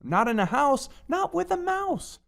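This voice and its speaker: A woman's voice: sits high, a little slow, creaky, pitched above the performer's own voice, heavily acted. A woman voicing a grumpy, stubborn picky eater in a read-aloud storybook, grumbling every refusal. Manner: flat, grumbling, fed-up refusals.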